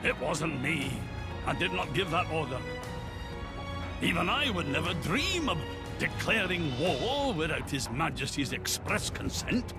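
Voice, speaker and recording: Haughty game senator, creaky, highish voice, wildly swinging intonation, blustering, indignant. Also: male voice, sing-song, unhurried, over music. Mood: defensive. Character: shifty, indignant imperial senator, panicking when cornered. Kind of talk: dramatic video game acting.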